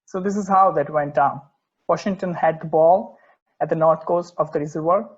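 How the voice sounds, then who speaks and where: An earnest, opinionated sports purist played by a man talks in a slightly high voice. The sound is dull and lo-fi. The delivery is a conversational script reading, emphatic, with the odd stumble. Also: fairly even intonation, normal speed, husky. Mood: explanatory, bemused. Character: opinionated purist football fan, earnest and particular. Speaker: amateur actor in a remote table read.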